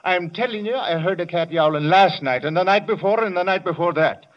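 An actor playing a blustery, nosy older neighbor in a 1940s radio play, talking in a folksy, coaxing brogue. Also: male voice, sits high, fairly quick, lightly acted. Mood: insistent. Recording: dull lo-fi old radio broadcast.

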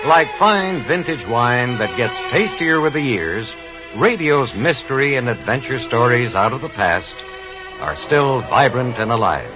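A man's voice: mid-range voice, lively intonation, measured pace. Guy hosting old radio drama show, theatrical, lots of swing in his voice, music under, dull sound. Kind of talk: scripted dramatic radio introduction.

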